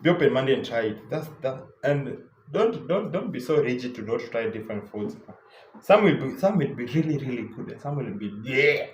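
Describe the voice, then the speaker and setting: Young kenyan man, travel vlogger, rambly and casual, average pitch, faint room hum. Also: expressive, moderate pace, echoey room, poor audio. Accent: Kenyan English. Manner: loose and chatty, lots of repeats and fillers. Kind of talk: casual travel advice talk.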